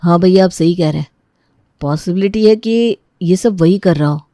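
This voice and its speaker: A woman acting an earnest, concerned young husband in a family audio drama, gentle and reasoning. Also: lowish voice, normal speed, no room sound, clean studio sound, natural voice. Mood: earnest, worried. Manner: earnest, reassuring.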